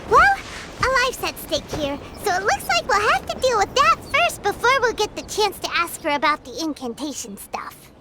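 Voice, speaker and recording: Game fairy sidekick: tiny childlike girl, very high and swooping, perky; heavy noise on the track. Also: big pitch swings, moderate pace. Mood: puzzled, musing. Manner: perky, exclamatory, folksy. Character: excitable squeaky small childlike female fairy companion. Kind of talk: video game voice acting.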